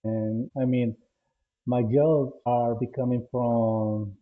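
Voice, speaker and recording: A man's voice: medium pitch, fairly even intonation. Salvadoran man on a tinny line. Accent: Salvadoran Spanish. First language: Spanish. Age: 40s. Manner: halting, restarts and repeats words while searching for English. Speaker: podcast guest. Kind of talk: casual interview answers. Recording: tinny phone-line audio.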